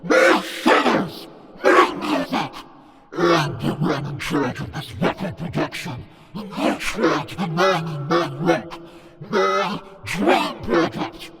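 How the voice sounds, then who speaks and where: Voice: mid-range voice, expressive, slow, long pauses, a bit bright, a little hiss or hum. An actor voicing a vengeful, feral demonic beast, taunting and ranting in a horror audio drama. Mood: furious. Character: feral demonic pink-skinned female beast, vengeful music producer.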